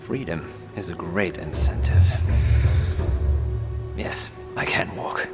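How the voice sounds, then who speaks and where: Game role: weary stoic captive, deep and gravelly, dryly understated, loud music underneath. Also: male voice, slow, heavily acted. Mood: weary, relieved. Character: weary, stoic male prisoner, dryly courteous. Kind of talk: video game cutscene dialogue.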